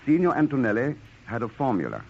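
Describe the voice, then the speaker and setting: An actor playing a suave, knowing investigator in an old-time radio thriller. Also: male voice, medium pitch, wildly swooping pitch, quick pace, creaky, a crowd in the background. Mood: dry, measured. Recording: dull vintage radio recording, loud music behind.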